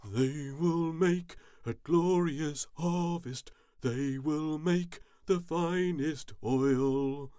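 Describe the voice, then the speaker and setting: A performer playing a big, contented olive farmer who sings slowly to himself in a storybook tale. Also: mid-range voice, warm tone, dry recording, studio quality, pitched above the performer's own voice. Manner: singing, slow and stately.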